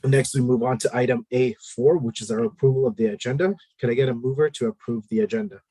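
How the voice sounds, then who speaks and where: A man chairing a formal board committee meeting, leading a prayer and calling items in a measured, procedural way with frequent fillers. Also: medium pitch, fairly even intonation, warm tone, dry recording.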